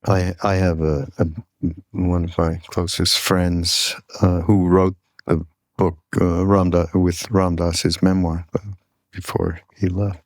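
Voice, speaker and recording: Older male podcast host, deep and unhurried, halting reflective storyteller, close dry sound. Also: little pitch movement, no echo. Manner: halting, searching for words.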